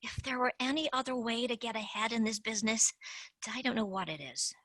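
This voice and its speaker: A woman's voice: fairly high pitch, brisk, a bit bright, no echo. A woman playing a brash, scheming female tooth fairy agent in a stage play. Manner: brash, wheeling-dealing.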